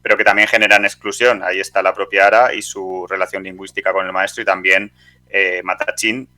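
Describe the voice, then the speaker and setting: A man with an accent from Spain, hosting an interview with long, prepared questions delivered in halting phrases. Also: low voice, level tone, bright, forceful tone. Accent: Spanish from Spain. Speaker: podcast host, interviewer.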